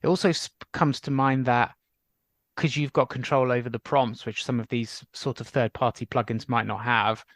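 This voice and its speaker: A man's voice: medium pitch, fairly even intonation, normal speed, fairly bright tone, no echo, clean studio sound. A man hosting a podcast, asking curious follow-up questions and summarising what the guest says. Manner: inquisitive, sums up the guest's points. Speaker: podcast host. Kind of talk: casual podcast interview.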